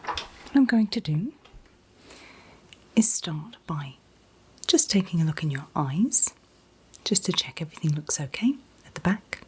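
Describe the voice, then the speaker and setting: A woman in a gentle, soothing ASMR roleplay as an optician, whispering breathily and reassuringly without hurry. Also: lowish voice, very animated, unhurried, pauses often, a little hiss or hum. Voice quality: breathy, mostly unvoiced whisper. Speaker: ASMR performer playing an optician.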